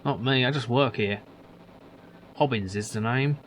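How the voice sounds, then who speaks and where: A man voicing a gruff, grumpy older male factory worker, grumbling and dismissive. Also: mid-range voice, measured pace, slight background noise, lightly acted. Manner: grumbling, dismissive, calls others son.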